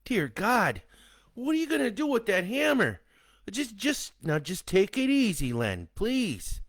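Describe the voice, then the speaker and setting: A man doing a frantic, shrill pleading husband. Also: sing-song, a little slow, no room sound, clean studio sound. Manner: frantic, stammering, high-pitched pleading. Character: panicked pleading middle-aged husband.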